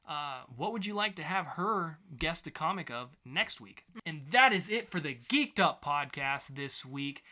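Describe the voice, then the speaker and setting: A man's voice: on the high side, expressive, measured pace, hardly any pauses, sharp tone. A man co-hosting a podcast with playful banter and mock game-show announcing. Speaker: podcast co-host.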